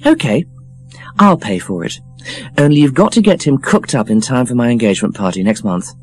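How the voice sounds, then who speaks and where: A man's voice: medium pitch, expressive, fairly quick, soft background music, pitched above the performer's own voice, lightly acted, poor audio. A man voicing a snobbish, scathing young woman, blunt and emphatic, for an audiobook. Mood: mocking. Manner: sneering, emphatic, cutting. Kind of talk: audiobook character voice.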